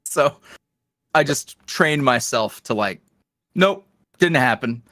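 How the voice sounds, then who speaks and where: A man talks casually as a guest on a podcast. His pitch swings around in a lively, animated way, and his slangy delivery is jokey and self-deprecating. The sound is close and dry, with no room echo. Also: slow. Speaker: podcast guest, audio roleplay creator. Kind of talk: casual chat interview.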